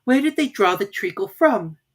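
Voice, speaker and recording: A woman's voice: mid-range voice, expressive, moderate pace, husky, pitched above the performer's own voice. A woman voicing a polite but easily offended young girl in a storybook, questioning in a careful, prim way. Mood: puzzled.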